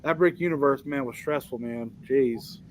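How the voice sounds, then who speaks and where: A man's voice: medium pitch, moderate pace, a little hiss or hum, poor audio. A man on a stream panel, telling a story about a stressful weekend and venting as he goes. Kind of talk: casual chat.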